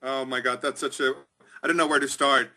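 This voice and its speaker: A man's voice: mid-range voice, level tone, fairly quick, bright, forceful tone. A middle-aged man from Germany, a guest on a video-call interview, talking in an upbeat, chatty way with many ums. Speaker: executive director of a chamber of commerce, guest. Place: indoors, small room.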